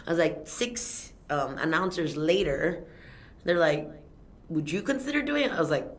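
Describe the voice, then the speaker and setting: A young Thai woman, a fight event announcer, chatting casually in an interview, telling animated stories with frequent laughs. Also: low-pitched, creaky. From Thailand.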